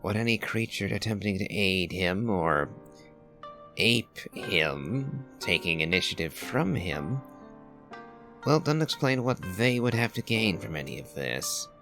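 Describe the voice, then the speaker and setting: A man giving reflective video game commentary in a deliberate, analytical, musing manner. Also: on the low side, lively intonation, bright, forceful tone. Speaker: game streamer. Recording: game music behind the voice. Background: video game music.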